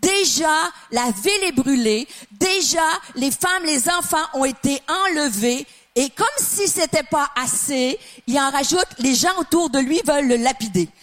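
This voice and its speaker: A woman's voice: high voice, expressive, a little slow, hardly any pauses, a bit bright, faint music behind. A middle-aged woman from Canada with a Québécois French accent, preaching a sermon with passionate emphasis, building to exclamations.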